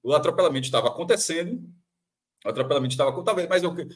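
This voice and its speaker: A Brazilian man breaks down a football match in a fast, animated panel chat. His voice sits a bit high for a man, and he restarts sentences and repeats phrases as he argues his point. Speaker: football commentator. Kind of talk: sports analysis panel chat.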